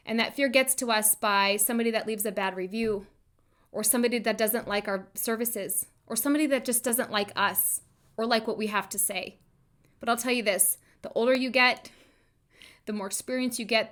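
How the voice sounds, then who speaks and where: Female podcast host, slightly high register, motivational coaching talk, informal direct address with emphatic repetition. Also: fairly bright tone. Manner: emphatic, repeats phrases for emphasis.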